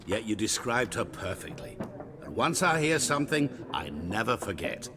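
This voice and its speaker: A man's voice: on the low side, big pitch swings, moderate pace, background noise. An actor playing a sly, talkative male vagrant in a video game, wheedling and theatrical, dropping into whispers.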